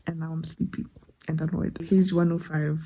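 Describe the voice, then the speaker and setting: A woman's voice: low-pitched, little pitch movement, normal speed. A woman chatting casually and candidly in slangy, conversational language to camera, as a book vlogger. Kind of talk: casual reading vlog chat to camera.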